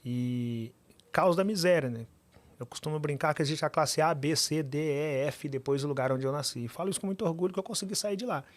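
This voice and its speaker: A man, a podcast guest telling his life story with animated, emphatic repetition. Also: fairly high pitch, brisk, no room sound. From Minas Gerais, Brazil.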